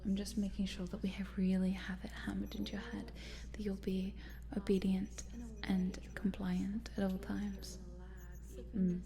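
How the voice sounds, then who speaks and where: A woman playing a cute but sinister scientist in an ASMR roleplay, coaxing in a soft, breathy whisper. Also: mid-range voice, monotone, moderate pace, loud music behind the voice, natural voice. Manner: hushed, coaxing, murmuring, giggly asides. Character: cute sinister lady scientist, chatty, coaxing, quietly gleeful.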